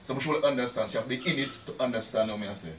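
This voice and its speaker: A man from Dominica speaks quickly in a Jamaican-influenced Caribbean accent, talking loosely about his music as a guest in an interview. The sound is roomy and set back from the mic, with a faint hum of ambience behind him. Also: medium pitch, distant mic. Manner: laid-back, repeats "you understand", dialect speech. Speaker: dancehall artist guest. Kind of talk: casual interview talk.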